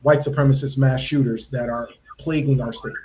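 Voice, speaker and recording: A man's voice: mid-range voice, fairly even intonation, normal speed, a little mellow, dull audio, slight background noise. A man hosting a live podcast interview, asking earnest, rambling questions with many fillers and restarts. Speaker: podcast host.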